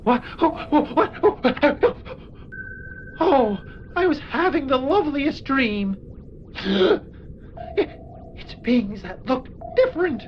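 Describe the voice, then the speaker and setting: Timid Martian simpleton, slow hesitant delivery, very animated pitch, naive, muffled noisy audio comedy. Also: female voice, faint music behind. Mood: startled. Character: timid, dim, sleepy Martian oaf Droppo, easily flustered. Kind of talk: comic audio drama.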